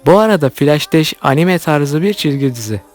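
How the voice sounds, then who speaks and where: A man's voice: a little high, animated, moderate pace. A man hosting an online video, delivering scripted commentary as narration. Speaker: YouTube host commenting on cartoons. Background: background music.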